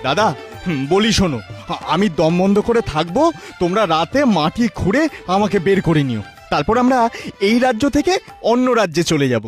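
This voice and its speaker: Cartoon casting: male actor as plucky, wily young brother, high voice, singsong pleading. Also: expressive, fairly quick, soft background music. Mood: sly, eager. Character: plucky, crafty young brother sentenced to death. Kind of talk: Bengali cartoon dubbing.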